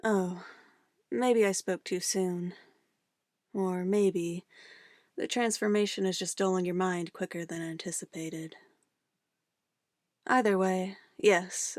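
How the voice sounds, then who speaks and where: A woman's voice: lowish voice, steady tone, slow pace, pauses often, a little mellow, dry recording, studio quality. A woman playing an obsessive, controlling witch in an ASMR roleplay, a sweetly menacing lover who moves between coaxing endearments and firm commands. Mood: possessive, controlled.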